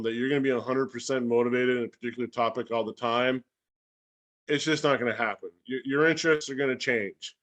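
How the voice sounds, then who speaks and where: A man with a mid-pitched voice speaks as a guest educator in a casual question-and-answer style. He is folksy and informal, acting out little imagined exchanges as he explains. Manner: animated, acts out imagined dialogue.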